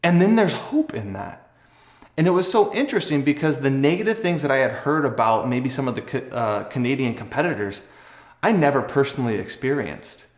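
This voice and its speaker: A man's voice: mid-range voice, big pitch swings, a little fast, roomy sound. A young man telling a personal story casually to camera, earnest, conversational and reflective. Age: young adult. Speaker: athlete and video host.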